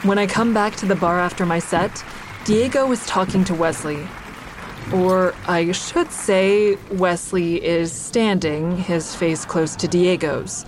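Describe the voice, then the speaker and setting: A woman's voice: fairly low pitch, darkish tone, a little hiss or hum, close to an everyday voice. An actress playing a wry stand-up comedian who narrates her own story conversationally in an audio drama.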